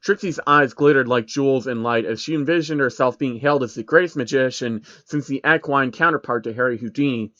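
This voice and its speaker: A man's voice: medium pitch, a little fast, few pauses, bright, forceful tone, no echo, muffled recording. A man reading fan fiction aloud as an amateur story narrator.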